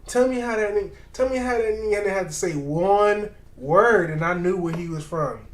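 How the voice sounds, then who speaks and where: Animated, slangy man reacting, echoey room. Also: sits high, normal speed. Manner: animated, slangy, repeats himself. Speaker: reaction video host. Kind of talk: casual reaction commentary.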